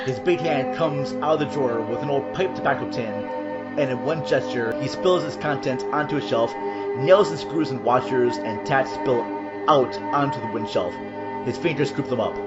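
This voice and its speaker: Male narrator, mid-pitched, for horror audio drama. Dramatic scripted storytelling over a very loud score. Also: moderate pace, highs cut off. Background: loud dramatic music.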